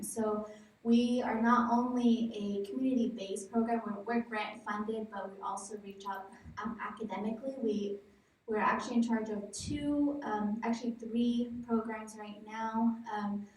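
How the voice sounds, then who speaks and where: A woman's voice: a little high, fairly even intonation, moderate pace. A woman from Arizona, a college program coordinator, introducing herself and her work at a presentation. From Navajo Nation, Arizona, United States. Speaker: college arts program coordinator, community representative. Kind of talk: spoken self-introduction at a presentation. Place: large echoey indoor presentation room. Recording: very echoey room, distant mic.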